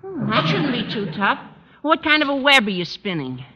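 A man's voice: extremely high pitch, sing-song, moderate pace, pushed and bright, slightly rough, heavily acted. A male actor playing an eager teenage schoolboy, earnest and gushing, in old-time radio comedy style. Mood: scheming, eager. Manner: earnest, gushing, gee-whiz adolescent. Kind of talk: 1940s-50s radio comedy acting. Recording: muffled old radio recording.

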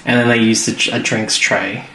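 Male co-host, easygoing recap voice, middle pitch; roomy, faintly noisy recording. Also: flat delivery, moderate pace, bright, forceful tone. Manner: easygoing, recaps scenes with loose fillers. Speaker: podcast co-host. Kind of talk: casual chat recapping an episode. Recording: echoey room, faint background noise.

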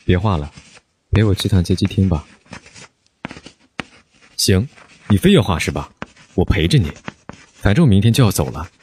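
A man playing a brooding, rebellious high-school boy in an audio drama, speaking in a curt, aloof way. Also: very animated, moderate pace, long pauses, a little mellow, slight background noise. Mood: brusque.

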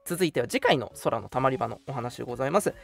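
A man's voice: mid-range voice, animated, fast, vocal fry. A man hosting a casual radio show, chatting politely and making announcements over background music.

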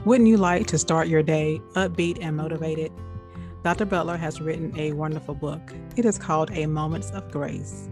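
Low-voiced woman reading a promo. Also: moderate pace, music in the background. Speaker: announcer. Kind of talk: promo announcement.

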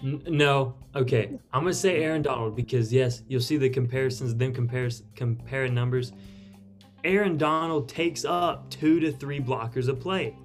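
A man's voice: medium pitch, moderate pace, soft background music. A man on a sports podcast panel, casually arguing his picks and analysis.

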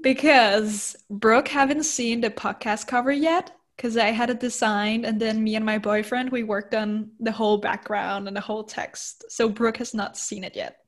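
Bubbly young Danish woman, higher voice. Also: fairly even intonation, moderate pace, few pauses. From Denmark. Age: 20s. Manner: giggly, chatty, self-conscious. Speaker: podcast co-host. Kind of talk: casual chat. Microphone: phone call.

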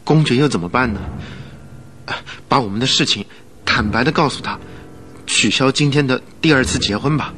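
An actor playing an earnest, determined young husband in a Chinese radio drama. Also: male voice, medium pitch, normal speed, pauses often, bright, forceful tone, slight background noise, natural voice.

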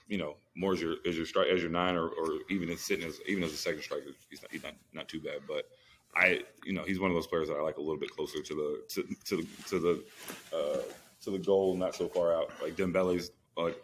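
A man's voice: rumbling low, a little hiss or hum. A man co-hosting a sports podcast, chatting casually and slangily about football, repeating phrases for emphasis.

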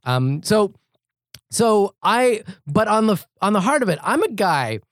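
A man talking through his thoughts in a casual film-review podcast, thoughtful and halting, with many restarts. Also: high voice, sing-song, no room sound, studio quality. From New Zealand. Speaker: podcast co-host.

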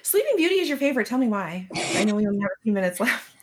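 A woman's voice: a little high, sing-song, racing, a little hiss or hum. A woman hosting a casual chat, with a playful, teasing manner and dry jokes. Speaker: podcast host.

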